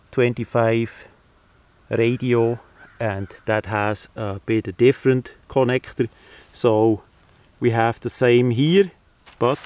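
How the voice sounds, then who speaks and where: Man explaining slowly in halting short phrases. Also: medium pitch, darkish tone, dry recording. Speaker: hobbyist presenter. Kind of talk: unscripted how-to demonstration. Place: outdoors, over a ground tarp.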